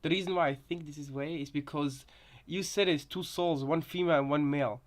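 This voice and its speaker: A man giving casual reaction commentary on game voice lines, rambling and halting, often repeating his phrases. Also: on the high side, fairly even intonation. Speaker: reaction video host.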